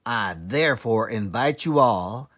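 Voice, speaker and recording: A man acts out a fantastic storybook fox, a grinning leader rallying his friends. He speaks in theatrical, emphatic phrases, with pitch that swings high and low. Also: animated, unhurried, fairly bright tone. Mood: grandly inviting. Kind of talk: children's storybook read-aloud.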